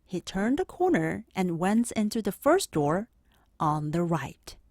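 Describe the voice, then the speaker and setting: A woman narrating a children's picture book aloud in an expressive, clearly phrased storytelling manner. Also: lowish voice, unhurried, dry recording, studio quality.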